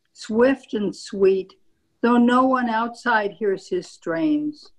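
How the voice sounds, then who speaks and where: A woman reading a poem aloud in a measured recital style at a group reading. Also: medium pitch, rough recording. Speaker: poetry reader at a group reading.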